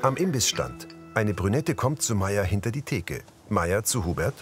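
Casting: male audio description narrator, low register, varied melody, music underneath. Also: sing-song, normal speed. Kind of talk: scripted narration of on-screen action.

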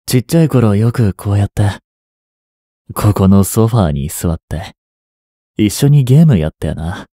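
A man in his twenties playing a reluctant, guilt-ridden young hitman in an audio drama, softly spoken and halting. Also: fairly low pitch, expressive, dry recording, clean studio sound. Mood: feigned casual. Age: 20s. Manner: halting, subdued delivery. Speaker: voice actor. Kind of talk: Japanese audio drama monologue and dialogue.

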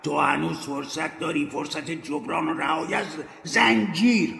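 A tormented old ghost dragging his chains, as a man puts him on, wailing his regrets. The voice sits raised and gravelly. It echoes through a roomy, reverberant space. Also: on the high side, lively intonation, sharp tone, slight background noise, pitched above the performer's own voice, exaggerated voice. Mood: warning. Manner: lamenting, declamatory. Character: anguished ghost of a dead old businessman.

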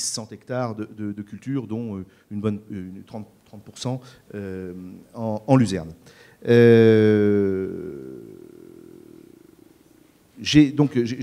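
A man's voice: on the low side, warm tone. A middle-aged man from France, a farmer speaking on a conference panel into a handheld mic, hesitant with many fillers and repeated words. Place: conference stage before an audience. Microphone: handheld microphone.

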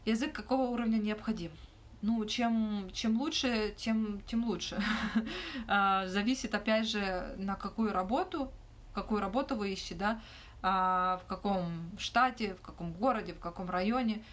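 A young woman hosting a livestream Q&A in a small room, talking casually into her phone and often pausing with fillers. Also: medium pitch. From Ukraine. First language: not Russian. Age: young adult. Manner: hesitant, frequent "uh" fillers and restarts. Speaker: livestream host answering questions. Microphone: phone held close, front camera.

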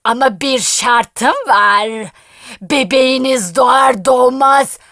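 Brief: a menacing cartoon witch, high and bright, very slow threats, dry studio with music. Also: no echo, heavily acted. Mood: stern threatening. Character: harsh menacing old female witch. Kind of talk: cartoon voice acting.

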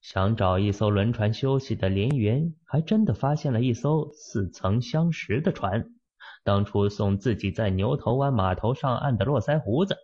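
Husky male audiobook narrator. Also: normal speed, dull audio. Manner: expressive storytelling with lively pitch swings. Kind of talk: dramatic novel narration.

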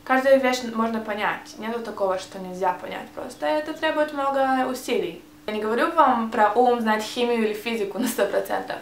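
A woman's voice: a little high, roomy sound, slight background noise. Hebrew-accented young woman, hesitant vlog talk. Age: young adult. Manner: hesitant, restarts words while searching for Russian. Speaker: vlogger. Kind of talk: casual tag video answering questions. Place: small bright room, indoors. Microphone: camera mic, seated facing camera.

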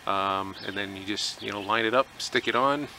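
A middle-aged man talks through a do-it-yourself boat repair in an easygoing, plainspoken manner, dropping in plenty of fillers. His voice sits low with a gravelly edge. He is recorded outdoors on a camera mic, with clear rural ambience and birdsong around him. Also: normal speed, slight background noise, rough recording. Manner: relaxed, plainspoken, with many fillers. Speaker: DIY workshop vlogger. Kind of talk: casual how-to narration. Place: outdoors in a rural driveway beside a boat trailer.

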